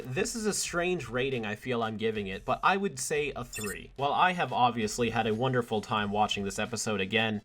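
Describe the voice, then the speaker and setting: A man with a medium-pitched voice presents a review of an animated series. He speaks casually, like an enthusiastic fan, moving between prepared commentary and spontaneous reactions.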